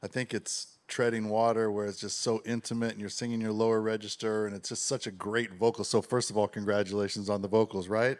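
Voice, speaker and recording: A man's voice: lowish voice, little pitch movement, fairly quick, few pauses. Enthusiastic male interviewer. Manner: enthusiastic, complimentary. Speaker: interviewer host. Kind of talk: interview questions.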